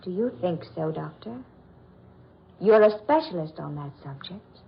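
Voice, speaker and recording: An actress playing a cool, taunting captive heroine with deliberate, dramatic 1940s radio drama delivery. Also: female voice, fairly low pitch, animated, creaky. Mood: cool, pointed. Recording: muffled old radio recording.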